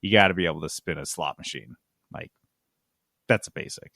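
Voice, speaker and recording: Low-voiced man hosting a podcast. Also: a little fast, gravelly, bright, forceful tone, dry recording, studio quality. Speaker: podcast host. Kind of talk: casual gaming news chat.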